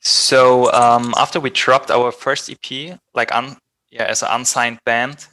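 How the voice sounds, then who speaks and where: A man's voice: mid-range voice, little pitch movement, measured pace, bright, forceful tone, dry recording, poor audio. A man with a German accent telling his band's story in a casual interview, hesitant and full of fillers. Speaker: band member being interviewed.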